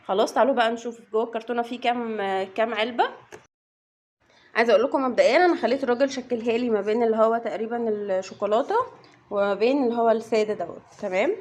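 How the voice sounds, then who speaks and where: Fast-talking Egyptian woman vlogger. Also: fairly high pitch, a little mellow. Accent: Egyptian Arabic. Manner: chatty, addresses viewers directly, counts items aloud. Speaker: family vlogger and mother. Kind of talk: casual unboxing vlog chat.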